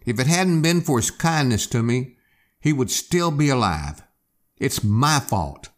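A man's voice: mid-range voice, sing-song, measured pace. A man voicing a weak, wounded young man in a hospital bed, speaking wearily and earnestly. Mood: guilty.

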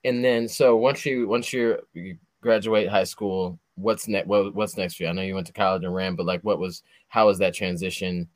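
Low-voiced rambling host in his thirties. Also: steady tone, normal speed, hardly any pauses, no echo. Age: 30s. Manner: rambling, heavy on fillers like "like" and "you know". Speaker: podcast host. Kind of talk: casual interview chat.

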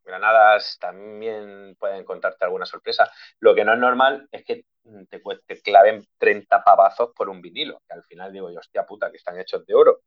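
Man, low voice, casual and jokey, swears a lot, lo-fi muffled sound. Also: a little fast. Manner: relaxed, swears freely, jokey. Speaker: band guitarist. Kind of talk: casual interview chat. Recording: dull lo-fi recording.

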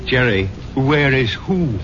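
Kindly barkeep in an old radio play, solicitous, swingy intonation, muffled sound. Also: male voice, medium pitch, slow, a little hiss or hum. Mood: concerned. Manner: solicitous, philosophical, expressive. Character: kindly philosophical barkeeper, a concerned listener. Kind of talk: 1970s radio drama acting. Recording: dull 1970s radio recording.

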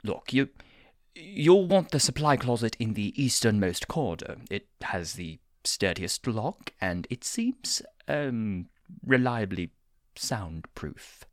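A gentle, fussy male angel played by a woman in an audio drama. The voice is very low and takes its time, swooping through prim, careful phrases. It sounds close and dry. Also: sing-song, takes it slowly, dry recording, heavily acted. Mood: prim, awkward. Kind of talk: audio fan fiction character acting.

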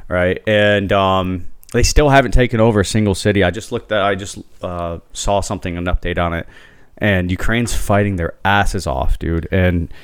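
A man's voice: low voice, fairly even intonation, normal speed, clear, resonant tone, dry recording, clean studio sound. A man hosting a podcast, chatting casually, rambling and swearing freely. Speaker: podcast host.

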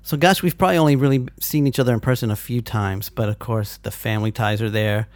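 A man in his forties leads the conversation in a friendly, easygoing way, pausing to recall old memories. His voice has an ordinary middle pitch. The sound is dry and close, with no room echo. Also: steady tone. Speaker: interviewer. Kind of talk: casual conversation.

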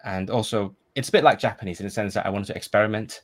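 Low-voiced hesitant man, Cantonese native. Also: fast. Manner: thoughtful, hesitant with frequent fillers and restarts. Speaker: podcast guest. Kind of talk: casual conversation.

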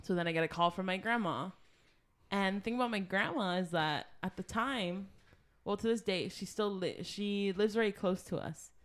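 A woman's voice: medium pitch, moderate pace. A young woman co-hosting a podcast, telling a personal story in casual chat with lots of filler words. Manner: chatty storyteller, frequent "like" fillers. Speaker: podcast co-host.

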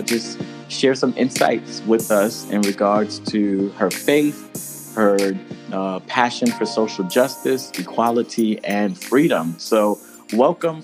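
Low-pitched man hosting a podcast. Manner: affirming, appreciative. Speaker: podcast host. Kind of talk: conversational podcast interviewing.

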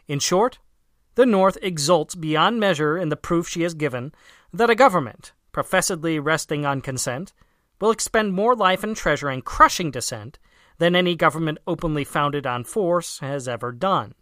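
A narrator reading a formal political essay aloud in a measured, emphatic way. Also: mid-range voice, lively intonation, a bit bright, no echo, clean studio sound. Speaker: audiobook reader.

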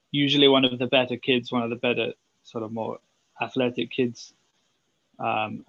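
A young Welsh man tells his story in a relaxed, rambling way, dropping in fillers as he goes. His mid-pitched voice has a gravelly fry. The sound is dull and lo-fi, with a dry, close feel. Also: no echo. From Wales. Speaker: student guest. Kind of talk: casual round-table chat.

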